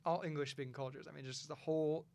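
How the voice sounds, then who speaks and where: A man's voice: fairly high pitch, fast talker. A man hosting a podcast in a small studio, chatting casually and rambling a little, with frequent laughs. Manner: relaxed, rambling, laughs often. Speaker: podcast host. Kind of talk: casual chat.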